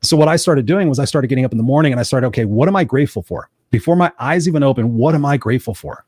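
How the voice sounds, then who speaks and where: A man hosting a live motivational show, telling personal stories in an earnest, energetic way. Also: mid-range voice, racing, dry recording, clean studio sound. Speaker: podcast host.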